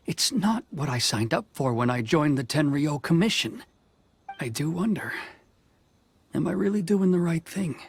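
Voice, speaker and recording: An actor voicing a stern young male official in a video game, speaking in a formal, measured, reserved way. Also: on the high side, a little hiss or hum. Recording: game soundtrack music faint behind.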